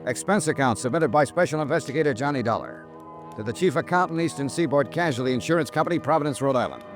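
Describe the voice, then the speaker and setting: An actor playing a tough, wry insurance investigator in a 1950s radio drama, with a clipped hard-boiled delivery. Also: male voice, mid-range voice, expressive, fast talker, music in the background, natural voice. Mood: wry, matter-of-fact. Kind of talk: 1950s radio detective acting, first-person expense-account narration.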